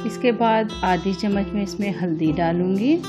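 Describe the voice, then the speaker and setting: A woman's voice: mid-range voice, normal speed, music in the background. A woman hosting a home cooking vlog, casually narrating a recipe step by step and politely instructing viewers.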